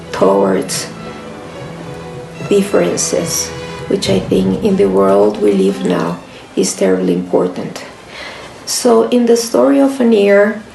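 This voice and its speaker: Woman author, earnest book presentation, unhurried delivery with gravelly fry, music underneath. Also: medium pitch, darkish tone. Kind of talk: reflective book presentation with short readings. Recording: roomy echo, music behind.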